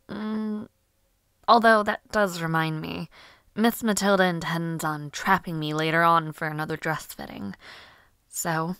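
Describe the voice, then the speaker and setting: Young lady mage for audio roleplay, female actor: slightly low pitch, unhurried delivery, genteel, cheerful, headstrong. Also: clean tone, dry recording, clean studio sound. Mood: chatty, mildly reluctant. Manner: genteel, old-fashioned, chatty phrasing with soft laughs and sighs. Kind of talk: scripted audio roleplay drama.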